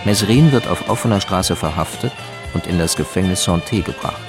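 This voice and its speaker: A man's voice: sits low, normal speed. A man narrating a radio drama from a script in a factual, announcing, documentary style. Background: guitar music.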